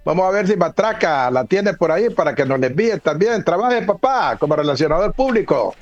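A man hosting a sports radio show, giving measured, emphatic match analysis. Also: sits high, expressive, lo-fi sound, a crowd in the background.

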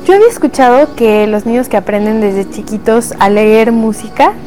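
A woman's voice: on the high side, moderate pace, mellow, faint music behind, rough recording. A woman, a co-host in a casual panel chat, thinking aloud with little asides.

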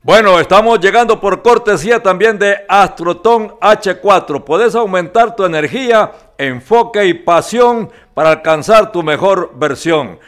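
High-voiced teasing co-host. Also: male voice, animated, measured pace, hardly any pauses, a bit bright. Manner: teasing, reads ads. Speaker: sports radio co-host. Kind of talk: casual sports talk banter.

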